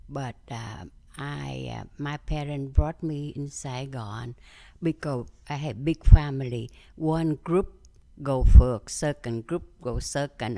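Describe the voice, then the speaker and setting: An older woman from Vietnam with a Vietnamese accent, telling her story in an interview in simple, halting English with many fillers. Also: a bass voice, gravelly, dark tone. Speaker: interview guest. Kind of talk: conversational interview.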